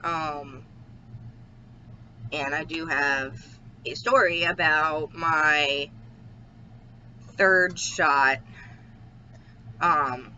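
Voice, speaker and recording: A man chatting in a casual video diary at home, slow and hesitant with many ums, his throat a little irritated. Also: on the high side, steady tone, bright, forceful tone. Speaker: vlogger. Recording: dull lo-fi with faint hiss.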